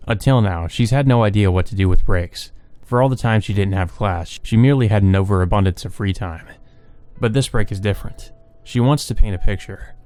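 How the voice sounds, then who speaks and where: A man reading a visual novel aloud as a let's play host, in measured, subdued narration with brief asides. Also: low-pitched, darkish tone.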